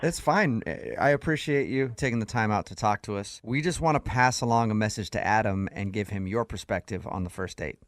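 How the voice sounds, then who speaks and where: A man's voice: fairly low pitch, brisk, hardly any pauses, no echo. A man hosting a radio show, interviewing in a casual, prodding, jokey way.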